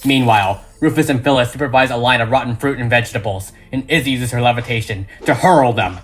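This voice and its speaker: A man's voice: mid-range voice, fast talker. A man reading a script as dramatic narration, with emphatic, suspenseful delivery. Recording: music behind the voice.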